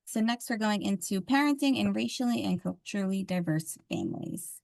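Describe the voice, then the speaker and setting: A woman's voice: mid-range voice, expressive, brisk, creaky, darkish tone, dry recording. A woman facilitating a parenting training session, explaining ideas steadily and at length in a conversational way.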